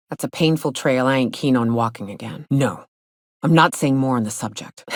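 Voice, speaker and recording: An actress playing a gruff, sardonic, hard-drinking frontier guide and monster hunter in a video game, with a folksy drawl. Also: female voice, a bass voice, no echo, clean studio sound, full character voice. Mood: guarded. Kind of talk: video game character dialogue.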